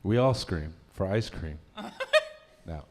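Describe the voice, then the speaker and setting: A man's voice: low-pitched, lively intonation, takes it slowly, darkish tone, a little hiss or hum. A man performing a crude, rambling stand-up comedy set, often laughing at his own jokes and filling gaps with uh and um. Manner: throws in lots of fillers like uh and um.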